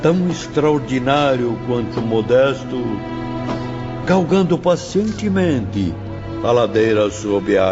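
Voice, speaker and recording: A man's voice: lowish voice, vocal fry. A man playing a solemn spiritual mentor, declaiming to his pupils in a dramatized audiobook. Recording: muffled, music behind.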